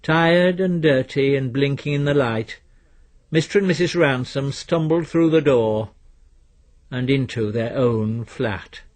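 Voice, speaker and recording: A man reading a literary story as narrator in a measured, dry storytelling manner. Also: mid-range voice. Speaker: narrator, reader.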